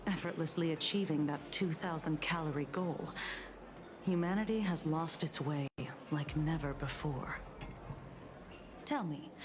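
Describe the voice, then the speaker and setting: A woman playing a philosophical, inquisitive character in a video game, speaking in a measured, musing way. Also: fairly low pitch, natural voice. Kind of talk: dramatic video game voice acting. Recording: clear background ambient noise.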